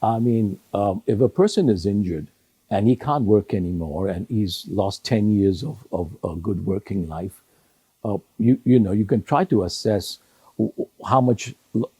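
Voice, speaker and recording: An older man whose native language is Sindhi, answering interview questions in a measured way with many pauses and restarts. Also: on the low side, warm tone, husky, no room sound. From Hong Kong. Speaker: retired judge, interview guest.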